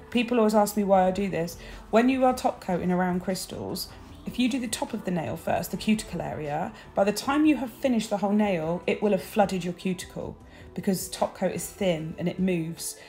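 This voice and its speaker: A woman with a croaky early-morning voice, chatting bubbly through a nail art tutorial and sharing tips. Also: on the low side, brisk, a little mellow, faint music behind. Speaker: nail technician.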